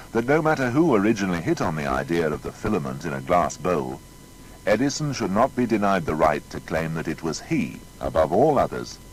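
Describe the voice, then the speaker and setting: A man's voice: low-pitched, a little hiss or hum, poor audio. A man narrating a scripted biography with emphatic, rhetorical delivery.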